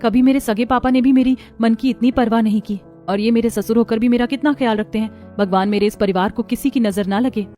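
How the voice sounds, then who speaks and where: A woman's voice: a little high, fairly even intonation, brisk, hardly any pauses, warm tone, faint music behind, close to an everyday voice. A woman voicing an innocent, sweet and grateful young daughter-in-law in a cartoon story. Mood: touched, grateful.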